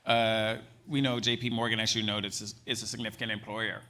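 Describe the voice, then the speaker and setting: A man's voice: on the low side, level tone, fairly quick, bright, forceful tone. A man hosting a live panel interview as reporter, reading prepared questions and giving brief affirming responses. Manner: brief affirming backchannels, reads questions from notes. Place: event stage.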